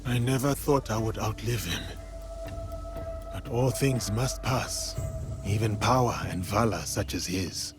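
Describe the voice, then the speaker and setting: A man's voice: lowish voice, a little slow, lightly acted. A man playing a loyal, humble samurai warrior in a video game, speaking formally and solemnly. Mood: solemn, respectful. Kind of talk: video game cutscene acting. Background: music.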